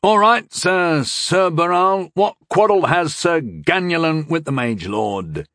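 Man playing a gruff, wry guard captain. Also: mid-range voice, animated, very slow, a bit bright, no room sound, clean studio sound, exaggerated voice. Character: gruff male guard captain, wry, plain-spoken soldier.

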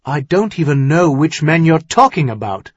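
A man playing a proud younger nobleman who is quick to take offence, sharp and demanding, in an audiobook. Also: fairly high pitch, animated, husky, no room sound, highs cut off, voice pushed up. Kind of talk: audiobook character dialogue.

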